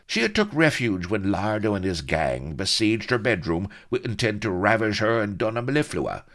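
A man's voice: lowish voice, wildly swooping pitch, measured pace, voice pushed up. A man voicing a bad-tempered, boastful rogue colonel in a comic audiobook, snappish and offhand.

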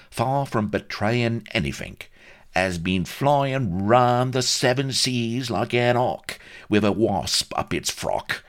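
A man's voice: on the low side, voice pushed up. A scheming Cockney agent in a comic seafaring storybook. He is prim, wheedling and full of aggrieved self-pity. The voice rides well above the reader's own and swoops animatedly. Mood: severe. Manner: indignant, wheedling, mock-respectful.

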